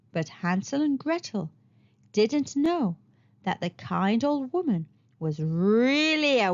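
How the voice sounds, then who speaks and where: A woman narrating a children's storybook aloud in an expressive storytelling style, on a slightly muffled recording. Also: high voice.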